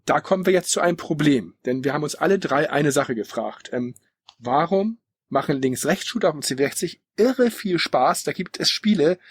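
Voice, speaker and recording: A man with a fairly high, husky voice, hosting a casual podcast. He rambles along in a chatty, opinionated way, recorded close and dry. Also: no echo. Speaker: podcast host. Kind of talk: casual retro gaming podcast talk.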